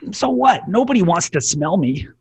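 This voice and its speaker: An insecure sitcom schoolboy, played by a man, with a very husky, rough voice on the high side. He is needy and self-deprecating, and his pitch moves around a lot. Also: big pitch swings, moderate pace, darkish tone, no echo, exaggerated voice. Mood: sulky, hurt. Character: insecure, self-pitying nerdy schoolboy pleading to be liked. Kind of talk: amateur table read of a TV sitcom.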